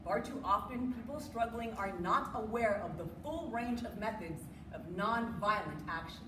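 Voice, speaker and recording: A young woman recites scripted material in a dramatic readers theater style. Her pitch sits in the middle range for a woman. She is heard at a distance, with strong room echo and obvious noise. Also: expressive, moderate pace. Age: young adult. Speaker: readers theater performer on a college forensics team. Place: indoor room, live stage performance. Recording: echoey room from far off, noisy.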